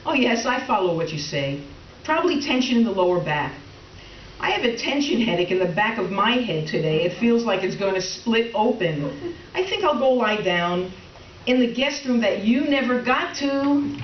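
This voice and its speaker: A woman's voice: on the high side, animated, normal speed, close to an everyday voice. A woman playing a middle-aged wife in comic readers theater, tightly wound and resentful, with brittle politeness and dry sarcasm. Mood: icily polite. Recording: roomy, distant, dull, faintly noisy stage recording.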